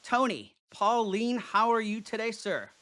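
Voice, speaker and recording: A man's voice: high-pitched, lively intonation, a little slow, fairly bright tone. A man hosting a podcast in casual chat, eager and often stumbling over his words. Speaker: podcast host.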